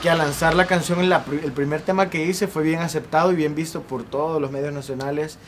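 A young man in his twenties with a Salvadoran accent, a singer telling his story at length in a relaxed interview on an outdoor patio. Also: on the high side, a little fast, a little hiss or hum. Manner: earnest, talks at length with ease. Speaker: singer and guest. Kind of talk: casual interview.

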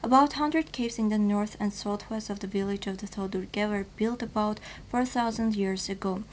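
A young female news anchor reads the bulletin at an even, mid-height pitch. Her delivery is formal and steady, with slightly non-native phrasing. A faint hiss sits under the studio mic. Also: normal speed. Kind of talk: scripted news reading. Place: TV news studio, virtual set.

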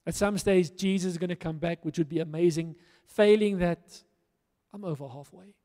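A man's voice: sits high, moderate pace, mellow. A middle-aged man from South Africa, preaching on a church stage into a handheld mic, earnest and rousing with emphatic repetition. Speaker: pastor. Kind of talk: sermon. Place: church stage in a large hall. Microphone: handheld microphone. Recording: long hall reverb.

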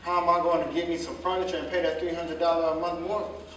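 A man's voice: fairly high pitch, little pitch movement, fast talker, fairly bright tone, lightly acted. Echoey actor as stubborn young American man. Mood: defensive, stubborn. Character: stubborn young man of twenty-four, hard-working jitney driver. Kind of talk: staged play reading, dialogue acting. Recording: distant mic, echoey hall, faint noise.

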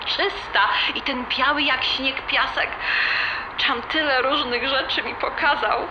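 Female actor as a gushing middle-aged mum in radio drama; high voice, echoey room. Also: steady tone, a little slow. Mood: gushing, flustered. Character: cheerful, slightly flustered middle-aged mother, affectionate. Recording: echoey, noisy room sound.

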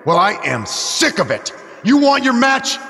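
Video game heel wrestler: indignant, sneering champion, bright forceful high voice, arena reverb. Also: male voice, huge pitch swings, a little slow, exaggerated voice. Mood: scornful, angry. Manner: sneering, theatrical, emphatic. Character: arrogant, indignant male wrestling champion cutting a promo.

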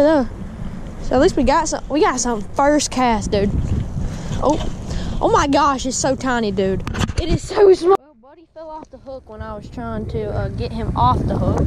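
A young man, chatting casually to viewers while fishing at a pond, calling them guys and dude. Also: extremely high pitch. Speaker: fishing vlogger. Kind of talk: casual vlog commentary. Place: outdoors by a windy pond. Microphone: body-mounted action camera. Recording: wind noise outdoors.

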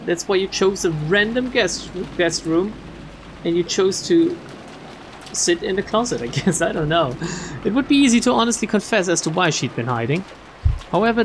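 A streamer reading a visual novel aloud in an expressive way, with casual, joking asides. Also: medium pitch, a little hiss or hum. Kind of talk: let's play read-aloud with casual asides.

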